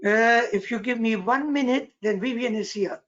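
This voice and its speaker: A woman's voice: on the high side, normal speed. A woman participant talking casually on an online call while checking the technical setup. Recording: muffled, noisy online call with clicks.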